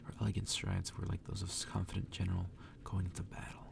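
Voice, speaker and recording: Very low, gravelly whispering man. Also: sing-song, fast. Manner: soft, hushed reading aloud. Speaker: ASMR reader. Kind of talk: whispered ASMR story reading.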